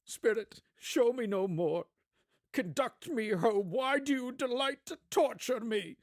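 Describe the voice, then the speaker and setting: A man's voice: very high-pitched, slow pace, no room sound, clean studio sound, voice pushed up, exaggerated voice. A man playing an emotional old miser, fervent and pleading, in a dramatized storybook reading. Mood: anguished pleading.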